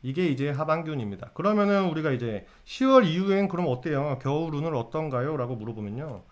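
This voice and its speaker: A man with a somewhat high-pitched voice gives a casual, lively lecture on fortune-telling. He talks straight to his viewers, keeps asking them questions, and answers each one himself. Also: quick pace.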